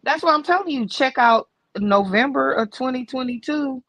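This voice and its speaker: A woman's voice: high-pitched, moderate pace, fairly bright tone, no room sound. Emphatic American woman, dry close sound. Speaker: panelist on a commentary livestream. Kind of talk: casual panel chat. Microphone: video-call livestream.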